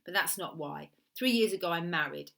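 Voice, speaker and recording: A woman voicing a fragile, bitter Italian woman of thirty who hires a killer, with an Italian accent and formal, deliberate phrasing. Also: lowish voice, animated, creaky, sharp tone, heavily acted. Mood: bitter, wounded.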